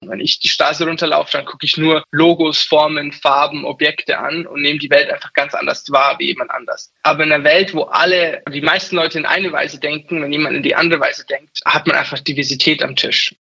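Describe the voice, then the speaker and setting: Gravelly, husky young man. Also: on the high side, little pitch movement, a little fast, few pauses, bright, forceful tone, lo-fi sound. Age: 20s. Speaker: interviewee. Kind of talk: personal testimony.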